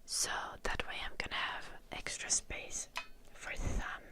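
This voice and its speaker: A woman from Finland hosting a live ASMR stream, whispering softly to her chat in English with a light Finnish tinge, close to the microphone. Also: unhurried. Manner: soft whisper, reading chat names. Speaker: ASMR livestream host.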